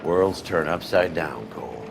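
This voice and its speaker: An actor playing a weary, shocked cop in a video game. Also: male voice, deep voice, lively intonation, measured pace, vocal fry, full character voice. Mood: stunned. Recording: loud music and sirens behind.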